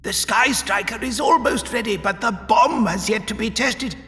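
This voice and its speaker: A man voicing an anxious, servile little alien engineer in a sci-fi audiobook, with a strained, creaky voice and a nervous, deferential manner. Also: a little high, expressive, drawn-out words, pitched above the performer's own voice, full character voice. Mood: panicked, pleading. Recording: long reverb, faint music behind.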